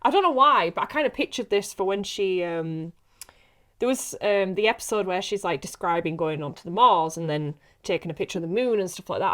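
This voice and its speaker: A woman's voice: mid-range voice, a bit bright. A woman chatting casually and informally as an actress on a podcast. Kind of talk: casual chat.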